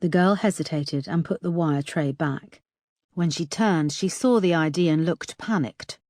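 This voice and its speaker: A woman reading a thriller audiobook in an even, measured way. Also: low-pitched, dry recording, studio quality. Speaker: audiobook narrator. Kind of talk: scripted narration.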